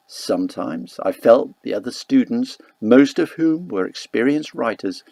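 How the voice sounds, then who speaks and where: Gravelly man reading a literary novel. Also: lowish voice, darkish tone, dry recording. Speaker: audiobook narrator. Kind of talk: scripted literary narration of a first-person novel.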